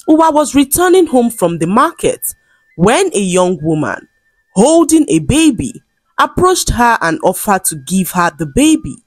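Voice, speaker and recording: A woman narrates a scripted folktale in a measured, dramatic storytelling manner. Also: mid-range voice, husky, no echo. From Nigeria.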